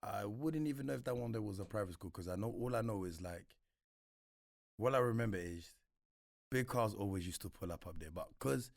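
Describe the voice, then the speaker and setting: A young man from Congo with a London accent, whose first language is French, telling stories of his childhood in a relaxed, laid-back way. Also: on the low side, a little fast, vocal fry, dry recording, clean studio sound. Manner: relaxed, laid-back, many fillers like "you know what I'm saying". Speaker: guest. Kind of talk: casual storytelling interview.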